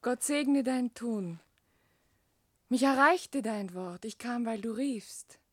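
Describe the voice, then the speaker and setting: Slow-spoken, earnest young maiden. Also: female voice, fairly high pitch, no echo. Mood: devoted, solemn. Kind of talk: poetic German radio play acting.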